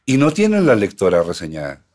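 Low voiced guy hosting a chat, talks fast, friendly. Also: huge pitch swings, a little mellow. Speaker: interview host. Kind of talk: casual interview chat.

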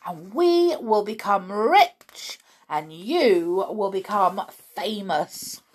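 A woman voicing an excitable, overbearing father in a children's story, exclaiming with bossy, impatient enthusiasm. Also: medium pitch, extremely slow, breathy edge, exaggerated voice. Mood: excited, grandiose. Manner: exclamatory, bossy, breathlessly eager.

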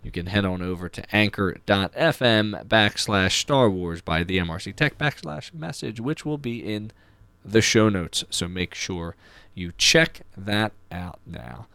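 A man's voice: on the low side, measured pace, vocal fry, pushed and bright, clean tone, no room sound. A man hosting a podcast, presenting announcements in an upbeat, chatty, informal way with playful asides. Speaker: podcast host.

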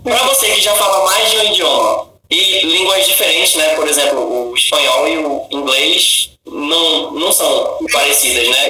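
Man host, very bright tone, rambling questions, echoey room. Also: high voice. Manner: rambling questions, reads viewer comments. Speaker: live-stream host. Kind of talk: live-stream interview chat. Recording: echoey room, faint music.

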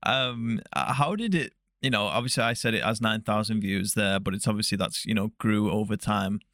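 A young man hosting a podcast, with a low voice, recorded dry and close. He asks long, meandering questions padded with fillers and restarts. He keeps a relaxed, chatty interview going. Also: normal speed, no room sound. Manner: rambling questions with many fillers. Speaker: podcast host. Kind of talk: casual interview.

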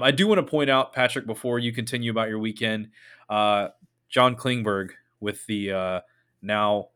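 Joking thirties podcast host. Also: male voice, lowish voice, a bit bright, clean tone. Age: 30s. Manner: joking, self-mocking, rambling. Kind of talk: casual sports podcast chat.

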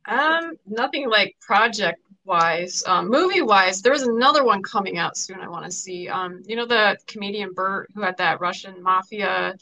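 A woman speaking as a podcast guest in casual chat. She hesitates often and fills pauses with ums. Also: medium pitch, expressive, bright, forceful tone, poor audio.

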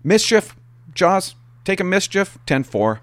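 A man's voice: sits high, very animated, slow, a bit bright, dry recording, pitched above the performer's own voice, full character voice. A man voicing a curt, put-upon female police dispatcher giving clipped, hesitant radio calls in an anecdote. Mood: brisk.

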